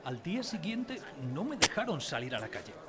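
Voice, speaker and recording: A man's voice: high-pitched, big pitch swings, fairly quick, crowd noise. An actor narrating a radio drama as a man recalling his village childhood, wistfully, in a literary style. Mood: somber. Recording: music behind the voice.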